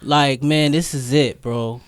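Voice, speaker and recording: A man's voice: medium pitch, fairly even intonation, slow pace, clear, resonant tone. A man hosting a casual interview in slangy, informal speech, murmuring agreement as he listens. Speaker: podcast interviewer, host.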